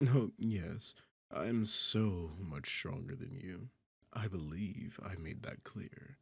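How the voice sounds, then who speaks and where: A man voicing an arrogant, seductive demon king in an ASMR roleplay, taunting the listener in a condescending, theatrical manner with a husky, gravelly voice. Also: sits low, pushed and bright, no echo, heavily acted. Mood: haughty, condescending. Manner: taunting, condescending, theatrical, slow deliberate phrasing.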